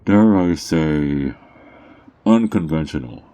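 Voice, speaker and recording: Very deep, dark-voiced sly drug dealer played by a man. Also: animated, clean tone, exaggerated voice. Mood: sly, boastful. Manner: teasing, theatrical, smug, with drawn-out words. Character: sly, mocking underground drug dealer peddling vampire-blood drug. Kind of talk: ASMR character roleplay monologue.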